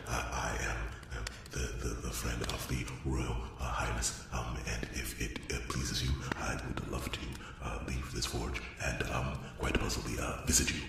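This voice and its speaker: Man playing a very deep, gravelly, stammering dwarf blacksmith. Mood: hopeful, grateful. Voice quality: low, gravelly, hushed, often breaking into whisper. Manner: halting, stammering, awkwardly polite, rambling. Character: shy, eager exiled young dwarf blacksmith, aged 120. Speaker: roleplay performer. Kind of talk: ASMR character roleplay monologue. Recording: echoey, distant, hissy noise, clicks.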